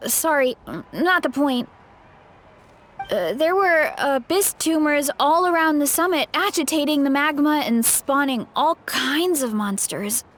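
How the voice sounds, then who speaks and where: A woman playing a sweet, absent-minded young warrior girl who talks haltingly, in an anime game. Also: sits high, fairly bright tone, heavily acted. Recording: music behind the voice.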